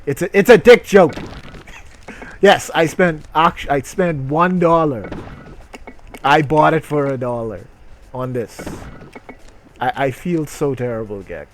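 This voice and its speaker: A man's voice: a little high, slow, pauses often, slight background noise. A man doing a mocking dramatic reading with asides, sarcastic, profane and exclamatory. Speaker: streamer reading aloud.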